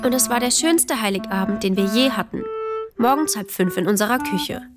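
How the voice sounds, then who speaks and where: A woman's voice: mid-range voice, animated, brisk, music in the background, lightly acted. A woman playing a wry big sister who narrates a family radio play with youthful expression. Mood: fond, wry.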